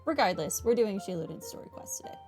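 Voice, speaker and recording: Chatty woman streamer over soft game music. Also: mid-range voice, lively intonation, fast talker. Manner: chatty, self-deprecating, dry humor. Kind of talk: casual playthrough commentary.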